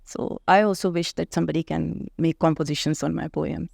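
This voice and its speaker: A middle-aged woman from India with an Indian accent and Hindi as her first language, talking as a poet and author guest in a thoughtful interview, pausing often to find her words. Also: sits low, steady tone, creaky, a little mellow, dry recording, studio quality. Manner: thoughtful, searching for words with frequent pauses. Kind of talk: reflective conversational interview.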